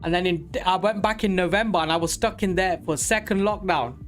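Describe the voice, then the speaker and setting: A man of forty talking casually and candidly on a live trading stream, rambling with many pauses. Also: high-pitched, fairly bright tone, faint music behind. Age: 40s. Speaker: livestream day trader.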